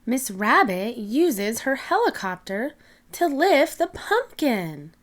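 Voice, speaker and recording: A woman reading a picture book aloud to young children, expressive, with clearly separated phrases. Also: fairly high pitch, slow pace. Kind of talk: animated read-aloud storytelling for young children.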